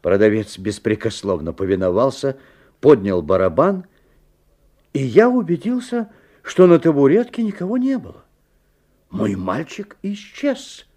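An actor playing an anxious, watchful father who narrates a strange tale in a 1970s radio play, expressive and dramatic. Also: mid-range voice, measured pace. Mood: dramatic dread.

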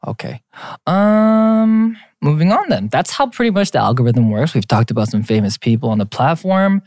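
Playful young man, lively voice. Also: on the high side, moderate pace, dry recording, studio quality. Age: young adult. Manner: playful, teasing, reads out lists. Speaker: podcast co-host. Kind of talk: casual chat.

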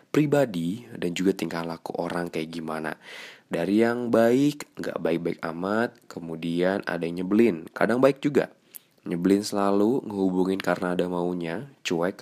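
Young male host, deep voice, slightly congested, casual confiding podcast chat. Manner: chatty, slangy, confiding. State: stuffy nose. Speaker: radio announcer and podcast host.

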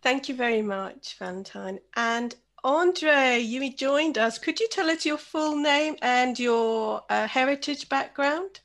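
A woman's voice: sits high, takes it slowly, few pauses, a bit bright. A British Bangladeshi woman hosting a podcast over a video call, reading an introduction and then handing the floor to each guest. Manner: reads an introduction, then chats and hands over by name. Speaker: podcast host.

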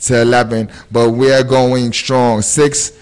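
A man hosts a casual podcast, and his voice sits at an ordinary middle pitch. He talks in a loose, rambling way, full of slang and little fillers. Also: level tone, takes it slowly, darkish tone, clean tone. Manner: laid-back, rambling, with fillers and street slang. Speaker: podcast host.

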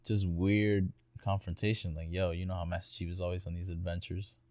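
A young American man with a low voice talks games in an easy, slangy way. He swears freely and acts out imagined lines as he goes. The sound is dry, with no room on it. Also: little pitch movement, a little fast, a little mellow, dry recording. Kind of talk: casual chat about video games.

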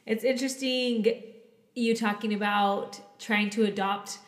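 A woman's voice: a little high, steady tone, a little slow. A woman hosts a casual podcast interview. She talks in an effusive, friendly way. Her voice carries a long reverb tail. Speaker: podcast host.

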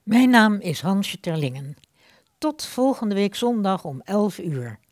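A woman's voice: medium pitch, big pitch swings, takes it slowly, a little mellow, no echo, studio quality. A woman announcer reading scripted closing credits for a radio programme.

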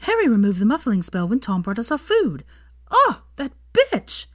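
A woman's voice: on the high side, huge pitch swings, moderate pace, dry recording, studio quality, pitched above the performer's own voice. A woman voicing an indignant, outspoken young witch in a story, emphatic and pointed. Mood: outraged.

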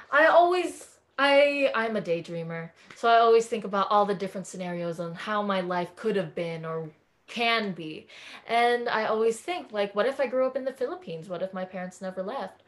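A woman's voice: medium pitch, moderate pace. A woman from California, a podcast guest talking in an effusive, earnest way in a casual interview chat.

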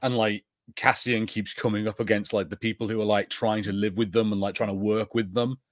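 Guy co-hosting a review podcast, lowish voice, no room echo, chatty and into it. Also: little pitch movement, fairly quick, studio quality. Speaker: podcast co-host. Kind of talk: casual podcast review chat.

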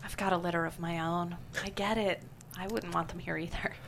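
A woman's voice: fairly low pitch, fairly even intonation, fairly quick, gravelly, fairly bright tone. Actress doing snooty judgmental cat-suit lady in a comedy read, bit of noise behind. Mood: prim, disapproving. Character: prim, judgmental adult woman in a cat costume. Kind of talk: comedy table read acting.

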